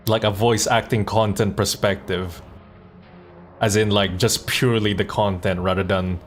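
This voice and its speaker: A man talks casually on a livestream in a fairly low voice. He thinks aloud in hesitant, informal bursts, like someone chatting with viewers. Music plays behind him. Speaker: streamer and comic dub voice actor.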